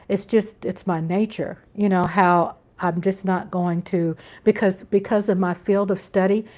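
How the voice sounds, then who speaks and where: An American woman hosting a casual live-stream chat from home, talking in an earnest, rambling way with frequent restarts. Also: mid-range voice. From United States. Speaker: channel host.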